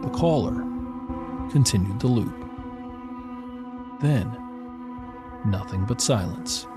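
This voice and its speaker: A man, a podcast host, telling a story in slow, deliberate scripted narration. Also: fairly low pitch, lively intonation. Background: music.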